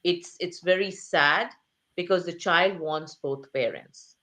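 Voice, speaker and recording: An earnest woman talks through her ideas in an interview, her voice sitting on the low side. She presses her points with repeated phrases and rhetorical questions and keeps a steady, engaged delivery. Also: takes it slowly. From Asia. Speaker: author and interview guest. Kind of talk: conversational interview.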